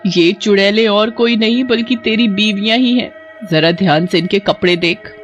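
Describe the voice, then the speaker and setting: A man voicing an anxious, scolding old village mother in an animated folk tale. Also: high voice, normal speed, dull audio, faint music behind, voice pushed up, lightly acted. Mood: alarmed. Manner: alarmed, scolding.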